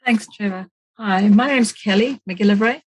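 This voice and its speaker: A woman's voice: medium pitch, normal speed, no room sound. A middle-aged woman with a South African accent, speaking conversationally as a podcast guest. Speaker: podcast guest, support coordinator. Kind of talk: conversational interview.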